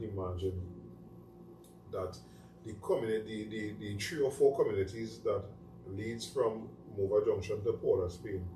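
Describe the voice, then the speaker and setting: Trinidadian man, echoey room off-mic. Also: fairly low pitch. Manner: animated storyteller in Creole phrasing. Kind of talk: casual chat. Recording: echoey room, mic at a distance, faint noise.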